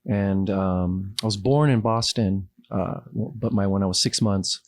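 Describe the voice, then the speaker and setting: A man's voice: deep voice, unhurried. A man from Los Angeles with an American accent, talking casually and reflectively as an interview guest. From Los Angeles, United States.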